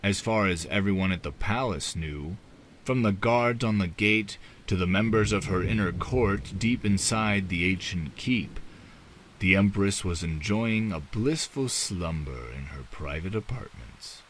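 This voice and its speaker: A man reading a fantasy novel as a dramatic audiobook narrator, with measured, deliberate phrasing and dramatic pauses. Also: low voice, animated, fairly bright tone, slight background noise.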